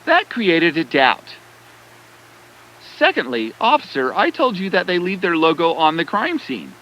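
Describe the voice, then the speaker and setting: A man playing a confident, sharp young detective in an animated story, speaking deliberately and with authority. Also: sits high, heavily acted, low-quality recording. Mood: confident explaining. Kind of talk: dramatic cartoon story acting. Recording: background music under the voice.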